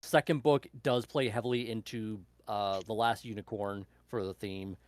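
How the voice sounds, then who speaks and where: Man chatting haltingly, very dry sound. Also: on the low side, steady tone, no echo. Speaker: book club member. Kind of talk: casual chat, recommending books.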